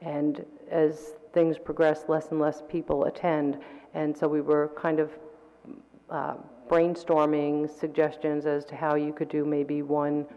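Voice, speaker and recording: A woman council member with a sore throat gives her reports at a council meeting, speaking in a measured way with fillers and throat clearing. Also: low voice, completely flat delivery, mellow. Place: council chamber. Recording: dull reverberant council chamber audio.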